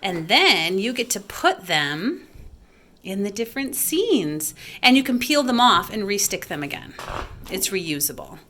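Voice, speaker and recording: A woman's voice: mid-range voice, sing-song, measured pace, pushed and bright, a little hiss or hum. A woman hosting a homeschool recommendation vlog, talking casually and encouragingly as one parent to others. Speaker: homeschool video host and parent.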